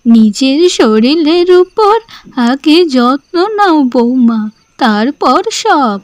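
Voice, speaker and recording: A woman playing a caring middle-aged village mother-in-law in slow, melodramatic cartoon dialogue. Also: high voice, lively intonation, dry recording. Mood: tender concern.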